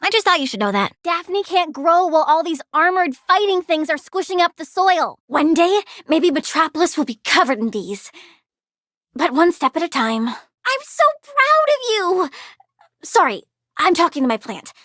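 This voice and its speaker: A woman's voice: extremely high pitch, big pitch swings, normal speed, fairly bright tone, no room sound, studio quality, full character voice. A woman voicing a childlike, sweet and quirky girl plant villain with gleeful menace, as a video game character. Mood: dry, playful. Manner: cutesy, childlike, matter-of-fact about menace.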